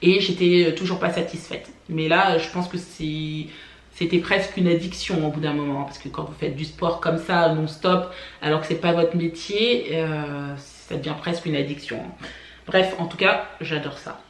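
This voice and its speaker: A woman born in France, telling personal stories to camera for her family vlog in a chatty way, often pausing on "euh" and "voilà". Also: sits low, fairly even intonation, roomy sound. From France (born in Paris). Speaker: family vlogger. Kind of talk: casual personal storytelling to camera.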